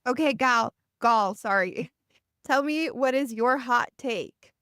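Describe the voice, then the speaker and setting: A woman's voice: on the high side, takes it slowly, a bit bright, clear, resonant tone, dry recording, clean studio sound, soft background music. A young woman from El Salvador hosting a podcast, upbeat and chatty, saying "like" often. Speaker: podcast host. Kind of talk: casual interview chat.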